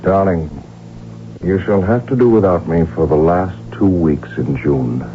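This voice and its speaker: An actor in a radio drama playing a proud, stubborn but affectionate archduke, speaking in a measured, formal, aristocratic manner. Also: male voice, low voice. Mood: affectionate, calm. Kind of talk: 1970s radio drama acting. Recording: dull, noisy old radio broadcast recording.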